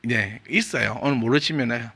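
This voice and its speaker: A man's voice: mid-range voice, normal speed, creaky, bright, forceful tone, breathy edge. A male pastor with a Gyeongsang Korean accent gives a chatty, humorous sermon and keeps asking his congregation questions. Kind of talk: conversational sermon.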